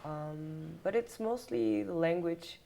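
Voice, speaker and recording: A woman's voice: lowish voice, slow pace, gravelly, a loud crowd. A young woman who grew up in Germany, talking as a guest and searching hesitantly for her words with many ums.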